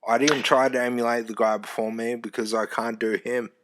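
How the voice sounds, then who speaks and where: Deep-voiced man, casual. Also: brisk. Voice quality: deep loud voice. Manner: animated storyteller, swears casually. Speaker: former youth music event host. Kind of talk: casual podcast chat.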